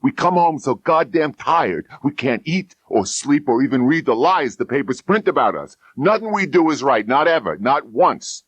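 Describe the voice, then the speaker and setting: A hard-boiled police detective acted by a male audiobook reader, bitter and bone-tired. He speaks in slangy, aggrieved bursts, pushed well above the reader's natural pitch, in a dry studio sound. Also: big pitch swings, normal speed, few pauses, breathy edge, dry recording, muffled recording. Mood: bitter, worn-out rant. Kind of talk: dramatised audiobook crime dialogue.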